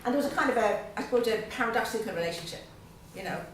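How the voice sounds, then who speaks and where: A middle-aged Irish woman from Dublin reading her poems slowly and with pauses at a literary evening, chatting hesitantly between them. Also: a little high, lively intonation, slight background noise. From Dublin, Ireland. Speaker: guest poet. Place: event room at a literary gathering. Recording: very echoey room, voice far off.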